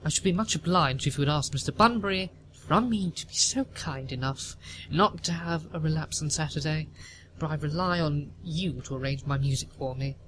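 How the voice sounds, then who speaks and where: A woman's voice: deep voice, moderate pace, lightly acted, low-quality recording. A performer acting a haughty, domineering older Victorian woman of the aristocracy in an audio play, pompous and commanding. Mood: imperious. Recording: noticeable background noise.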